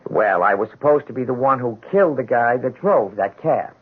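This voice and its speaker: Animated, stammering street-wise fall guy. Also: mid-range voice, moderate pace, husky. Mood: nervous, earnest. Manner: stammering, slangy, ungrammatical. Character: hapless drunkard fall guy, earnest street fellow. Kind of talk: 1970s radio drama acting. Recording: muffled, thin vintage radio recording.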